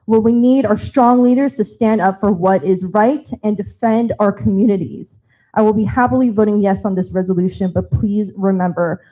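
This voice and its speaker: A woman's voice: medium pitch, warm tone, dull audio. A woman on a party committee giving a firm, impassioned prepared speech in a large auditorium. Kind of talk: prepared speech at a public meeting.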